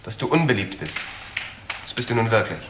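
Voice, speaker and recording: A man playing a bitter, taunting nephew in a German crime radio play, reproachful and sardonic with pointed pauses. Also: on the low side, very animated. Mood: scornful. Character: bitter, taunting young nephew of an unloved aunt. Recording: roomy, clearly noisy old audio.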